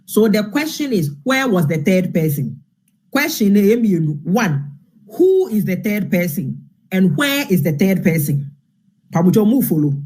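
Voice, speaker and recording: A Ghanaian man giving informal political commentary with a husky voice, repeating phrases for emphasis. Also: high-pitched, dark tone. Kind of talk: informal political commentary, mixing Twi and English.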